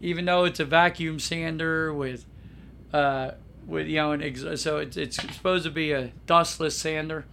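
A man's voice: a little high, fairly even intonation, normal speed, vocal fry, a bit bright. A man hosting a casual podcast chat with a scratchy sore throat, blunt and joking, often calling his co-host by name.

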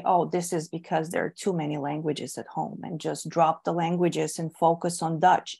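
A woman from Bulgaria, whose first language is Bulgarian, speaks as a podcast guest. Her voice sits fairly low and her pace is very unhurried. She thinks aloud, with frequent ums and self-corrections. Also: gravelly, darkish tone. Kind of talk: relaxed podcast interview.

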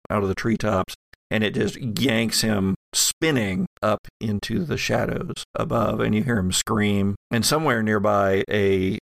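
A man running a tabletop horror game as game master, improvising the narration haltingly and thinking aloud. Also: lowish voice, creaky, no echo.

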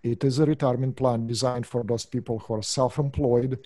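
A middle-aged man from Russia with a Russian accent, a business owner explaining his work in an interview, pausing often with fillers. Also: mid-range voice, fairly even intonation, dark tone, no room sound, studio quality. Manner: hesitant, many uhs and restarts. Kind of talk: interview answers.

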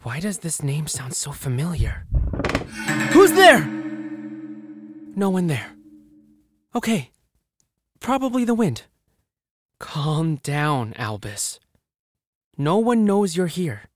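A man acts out a curious scholar in a fantasy audio drama, talking to himself at an unhurried pace. His reactions are expressive and dramatic, and the sound is dry and close. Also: on the high side, no echo, full character voice. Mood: alarmed. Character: curious, bookish male scholar muttering to himself.

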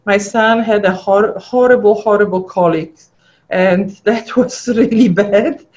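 A woman's voice: mid-range voice, unhurried, a little mellow. A middle-aged woman from Poland with a Polish accent, a guest pathologist chatting and telling stories in an interview. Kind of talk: casual interview chat. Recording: dull lo-fi audio.

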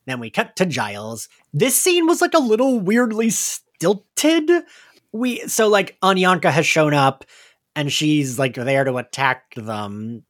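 Animated, chatty man with lively pitch. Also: a little slow. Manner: chatty, animated storytelling with acted-out quotes. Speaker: podcast co-host. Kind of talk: casual podcast recap chat.

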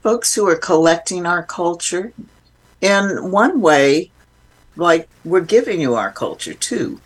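A woman's voice: on the low side, lively intonation, slow, breathy edge, poor audio. Woman guest reflecting in an interview.

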